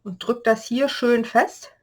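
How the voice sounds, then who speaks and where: A woman with a slight cold-hoarse voice, chatting through a step-by-step paper-craft tutorial and explaining the measurements as she works. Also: fairly high pitch, fairly even intonation, slow pace, darkish tone. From Germany.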